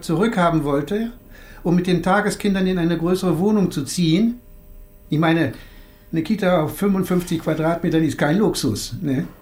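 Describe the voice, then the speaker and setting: An actor playing a gruff, sardonic older police detective in a radio crime drama, wry, colloquial and commanding. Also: male voice, on the high side, darkish tone, lightly acted.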